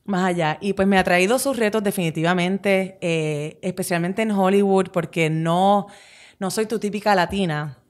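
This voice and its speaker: A woman's voice: medium pitch, normal speed. A woman in her forties with a Puerto Rican accent, chatting candidly as an interview guest, talking with her hands and slipping into English phrases. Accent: Puerto Rican Spanish. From Puerto Rico. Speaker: guest, presenter and actress. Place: small studio with a table. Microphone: table mic on a stand.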